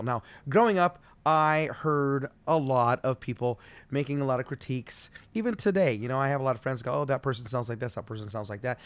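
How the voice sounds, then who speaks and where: A middle-aged man teaching as a vocal coach, friendly and explaining slowly with demonstrations. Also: mid-range voice, smooth, no room sound. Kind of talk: solo video voice lesson.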